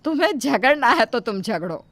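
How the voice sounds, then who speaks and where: A woman's voice: a little high, very animated, a bit bright, no echo. A woman voicing a pleading, insistent mother-in-law. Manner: pleading, drawn-out and emotional. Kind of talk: cartoon dubbing.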